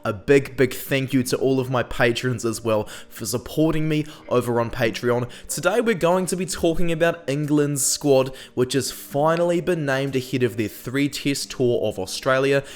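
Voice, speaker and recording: A young man with a New Zealand accent talks through a rugby squad as host, chatty and opinionated, with plenty of "um" fillers. He is still getting over a cold, and his pitch sits in the middle range for a man. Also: normal speed, hardly any pauses. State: recovering from a cold. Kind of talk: casual scripted commentary.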